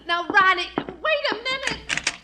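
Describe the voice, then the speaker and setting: A woman's voice: very high-pitched, lively intonation, a little slow, noisy background. An actress playing a sensible, exasperated housewife in a 1950s radio comedy, scolding and pleading with her foolish husband.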